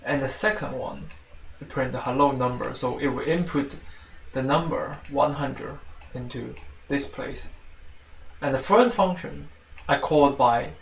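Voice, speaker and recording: Gravelly Chinese-accented man, halting tutor. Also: medium pitch. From China. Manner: halting, repeats and rephrases phrases often. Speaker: teaching assistant giving a programming tutorial. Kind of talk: informal spoken tutorial walkthrough. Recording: roomy, distant mic, faint noise and clicks.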